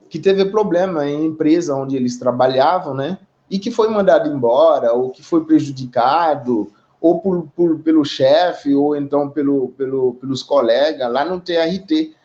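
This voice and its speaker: A Haitian man speaking as a community guest in a live online discussion, talking in a measured, reflective way with frequent pauses and tag questions. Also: a little high, a little mellow, highs cut off. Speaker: guest representing an immigrant community.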